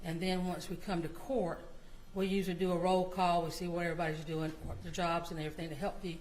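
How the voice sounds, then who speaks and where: A woman's voice: fairly low pitch, little pitch movement, fast talker, darkish tone, a little hiss or hum, low-quality recording. A woman testifying as a court clerk, answering politely and matter-of-factly. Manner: polite, answers "yes, sir", matter-of-fact. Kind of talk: witness testimony. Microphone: courtroom microphone.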